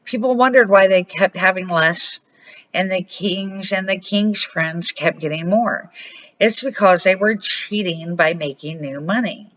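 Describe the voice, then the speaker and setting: A woman's voice: on the low side, measured pace, a bit bright, dull audio. An older woman reading the part of a patient old grandfather who explains things emphatically in a children's storybook. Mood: grave, emphatic. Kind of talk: storybook read-aloud.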